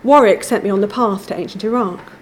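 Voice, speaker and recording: A woman giving a measured, formal academic lecture from a prepared text. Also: medium pitch, a little hiss or hum. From England.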